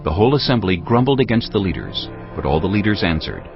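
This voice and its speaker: Very deep gravelly man narrating scripture. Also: big pitch swings, muffled recording. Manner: solemn, measured storytelling. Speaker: audio Bible narrator. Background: background music.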